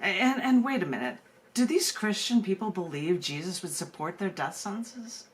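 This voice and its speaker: An American woman speaking as a poet and open mic host, emphatic and conversational, often repeating words haltingly. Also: mid-range voice, animated, slight background noise. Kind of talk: spoken-word poetry reading and open mic hosting.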